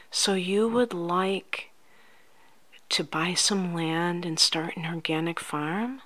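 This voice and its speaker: A woman speaking softly, at times close to a whisper, in a gentle, soothing ASMR roleplay as a life coach talking to the listener. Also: fairly low pitch, gravelly. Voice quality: soft, often near-whispered. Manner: gentle, unhurried, soothing, addressing the listener directly. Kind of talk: soft-spoken ASMR roleplay.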